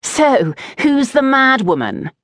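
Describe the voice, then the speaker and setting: A woman voicing a rude, mocking fifteen-year-old schoolboy in an audiobook story, loud and taunting. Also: high voice, very animated, slow, no echo, clean studio sound, voice pushed up, full character voice.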